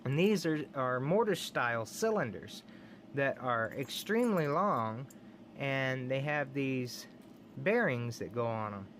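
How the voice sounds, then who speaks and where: A man with a Texan drawl talks in an easygoing, rambling way and keeps breaking into small chuckles. His pitch sits in a middle range. A faint hiss runs under a plain camera-mic recording made at home. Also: lively intonation, a little slow, fairly bright tone. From Texas. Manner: enthusiastic, rambling, says y'all, chuckles often. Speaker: lock collecting channel host. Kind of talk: casual show-and-tell vlog.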